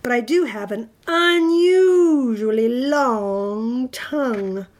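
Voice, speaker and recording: A woman's voice: on the high side, slow, a little mellow. A big, scruffy storybook monster, acted out by a woman, with a slobbery, slurping mouth. He is pushy and grumbling, whining one moment and trying to menace the next. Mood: boastful. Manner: whiny, trying to sound terrifying.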